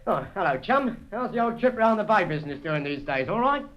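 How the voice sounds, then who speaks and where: Scrappy British sidekick, radio serial: high, chirpy, slangy, noisy engine background. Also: male voice, very animated, a little fast, dull audio. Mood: cheeky. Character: cheeky, scrappy sidekick, loyal but sceptical. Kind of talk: vintage radio thriller acting.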